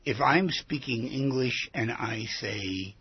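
A man's voice: mid-range voice, takes it slowly, husky. A man hosting a conversational radio interview, probing his subject with repeated and rephrased questions. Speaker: radio show host. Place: radio studio. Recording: dull, muffled lo-fi radio audio.

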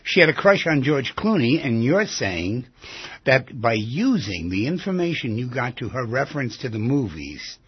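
A male radio host's voice, very husky and close, with no room sound around it. He keeps steering his guest with probing questions. The studio audio sounds dull and lo-fi. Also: medium pitch, sing-song, normal speed, no room sound. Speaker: radio host. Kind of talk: radio interview.

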